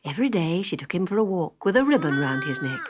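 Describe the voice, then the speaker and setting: A woman narrating a children's story in an expressive storytelling manner. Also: on the low side, fast talker, noisy background. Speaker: storybook reader. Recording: faint music behind the reading.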